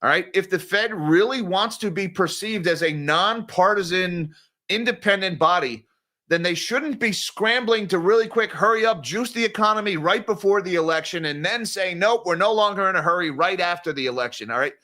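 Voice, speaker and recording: A man hosting a live-stream news commentary, talking in an animated, exasperated, ranting way. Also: sits high, normal speed. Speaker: host.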